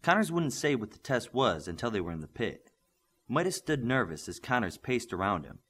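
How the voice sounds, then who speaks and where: A man's voice: mid-range voice, sing-song, brisk, fairly bright tone, breathy edge. A man giving a scripted narration of a novel as an audiobook reader.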